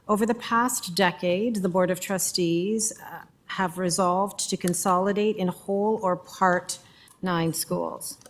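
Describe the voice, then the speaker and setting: A woman introduces a report to a board meeting, reading prepared remarks in a measured, formal delivery. Her voice sits a little low for a woman. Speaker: school board director.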